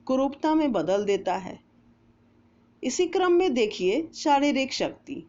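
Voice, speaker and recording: A woman storyteller reading a moral essay aloud in a measured, deliberate way, with pauses between phrases. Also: a little high, a little mellow, dull audio. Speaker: storyteller narrator.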